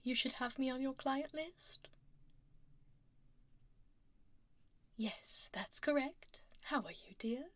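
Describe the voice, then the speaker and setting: Casting: woman as imperious dragon CEO lady for ASMR roleplay. Slow, whispery, poised, condescending, very close and dry binaural mic. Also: high voice, dry recording. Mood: silky, businesslike. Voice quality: soft, breathy, whispery. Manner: polished, slow, politely menacing. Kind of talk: ASMR roleplay, soft half-whispered delivery. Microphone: close binaural ear microphone.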